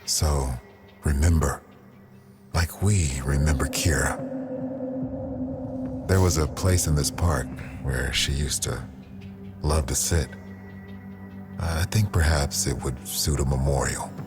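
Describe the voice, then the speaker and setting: Very deep, solemn war leader. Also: male voice. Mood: reflective. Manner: grave, measured, formal. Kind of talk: video game dramatic acting. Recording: game music behind the voice.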